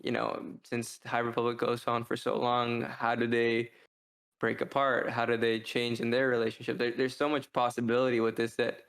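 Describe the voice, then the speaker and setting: A man's voice: medium pitch, fairly bright tone. A man co-hosting a review podcast in a casual, discursive way, often posing questions as he thinks aloud. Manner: discursive, poses rhetorical questions. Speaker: podcast co-host reviewing books.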